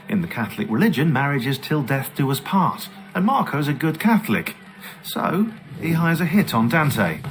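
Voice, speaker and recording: Gossipy sarcastic history guy male actor, game narration, chatty storytelling, very up and down pitch, bit of music behind. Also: close to an everyday voice. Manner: sardonic, storytelling.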